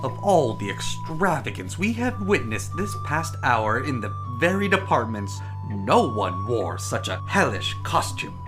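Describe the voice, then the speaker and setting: An actor playing an imperious, indignant prince who stammers in dread, in a gothic audio drama. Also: male voice, mid-range voice, sing-song, measured pace, few pauses. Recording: music behind.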